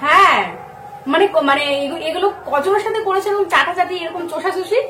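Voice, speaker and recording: A woman making a casual prank phone call, teasing in a halting, hesitant way. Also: very high-pitched, bright, forceful tone, slight background noise. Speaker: prank caller, channel host. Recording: echoey room, faint music.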